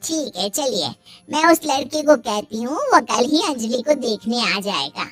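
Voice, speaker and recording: A woman's voice: fairly high pitch, sing-song, a little slow, a bit bright, faint music behind. A woman playing a meddling matchmaking auntie in a cartoon family drama. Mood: brisk, meddling. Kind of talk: Hindi cartoon dialogue.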